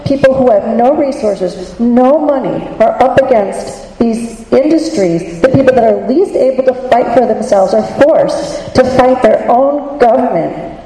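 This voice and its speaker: A woman's voice: fairly high pitch, animated, a little slow, warm tone, slight background noise. A woman giving impassioned, indignant testimony at a public hearing. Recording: echoey hall with long reverb.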